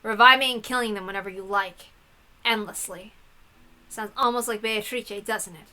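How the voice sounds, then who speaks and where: A woman's voice: medium pitch, normal speed, sharp tone, natural voice. A woman voicing a troubled but defiant young story heroine with an earnest, questioning manner. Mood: wary.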